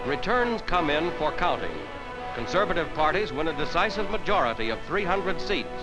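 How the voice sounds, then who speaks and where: A man's voice: on the high side, very animated, normal speed, rough recording. A man with an American accent narrating a 1940s newsreel in a formal, declamatory announcer style. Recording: 1940s newsreel soundtrack, loud music behind.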